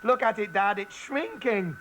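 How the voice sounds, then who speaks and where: A man voicing a small, anxious farm boy in a high, timid voice. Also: expressive, measured pace, a bit bright, slight background noise.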